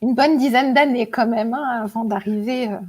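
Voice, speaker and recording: A woman's voice: on the high side, normal speed, darkish tone. A woman in her forties, a guest telling her story in a chatty, hesitant way with many fillers and frequent laughs. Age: 40s. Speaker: guest, spiritual author and teacher. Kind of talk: casual interview storytelling.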